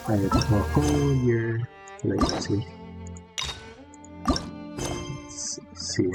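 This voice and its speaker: Man, casual gamer, mumbled offhand asides, built-in mic, loud game music underneath. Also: fairly low pitch, low-quality recording.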